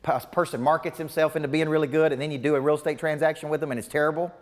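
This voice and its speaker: A man's voice: fairly high pitch, steady tone, very fast, big-room sound. A man in his forties giving a motivational business coaching seminar to a live audience, emphatic and punchy with lots of repetition. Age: 40s. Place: large seminar room before a live audience.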